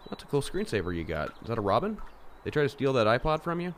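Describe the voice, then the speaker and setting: A man playing a rich high school boy in an audio drama, earnest and questioning in his manner. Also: sing-song, quick pace, slight background noise, close to an everyday voice. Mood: gentle, concerned. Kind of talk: amateur audio drama acting.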